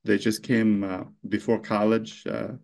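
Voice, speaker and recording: Low-voiced man, halting with fillers. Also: level tone, creaky, darkish tone. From Lebanon. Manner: halting, heavy with fillers and restarts. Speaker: mayor being interviewed. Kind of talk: unscripted interview answers.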